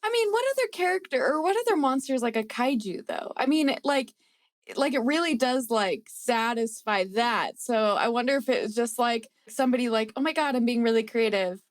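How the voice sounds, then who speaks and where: A woman's voice: on the high side, lively intonation, fairly quick, no echo, clean studio sound. A woman chatting casually and playfully as a podcast co-host, very informal, saying "like" often. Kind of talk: casual chat.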